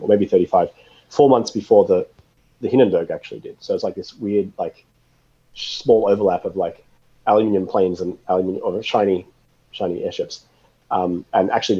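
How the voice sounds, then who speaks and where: Dull-sounding, very low, mellow male interview guest. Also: husky. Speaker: interview guest, space technology expert. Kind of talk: casual explanatory interview talk. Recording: slightly dull, lo-fi audio.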